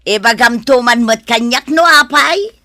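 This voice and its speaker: A woman's voice: a little high, measured pace, bright, forceful tone, no room sound, clean studio sound. An actress with an Ilocano accent playing a pert, gossipy village woman in a radio drama.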